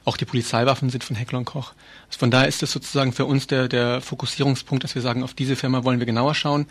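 A man gives an informal interview explanation at a very quick pace. His mid-range voice stays fairly flat, and he often corrects his phrasing as he goes. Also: mid-range voice, breathy edge. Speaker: interviewee, arms-trade researcher and activist.

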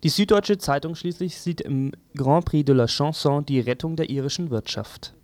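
A man's voice: a little high, moderate pace, darkish tone, dry recording. A man presenting a scripted press review on a morning radio show.

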